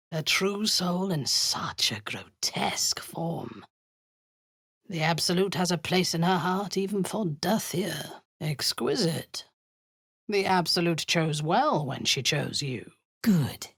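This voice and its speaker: A cold and cruel drow commander, fanatical in her faith, speaking slowly with quiet menace. Her voice is low and husky, sliding into whispers, yet it rises and falls widely. The recording is a dry, close game studio take. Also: no room sound, exaggerated voice. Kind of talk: video game voice acting. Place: studio booth. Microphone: close studio mic, dry.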